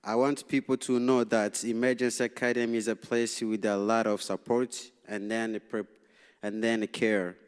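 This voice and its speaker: A young man from Tanzania whose first language is Swahili, reading a prepared speech as a student representative at a public meeting, halting and nervous, with frequent restarts. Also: on the low side, little pitch movement, clear, resonant tone. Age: young adult. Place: large city hall meeting room. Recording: long reverb in a council chamber.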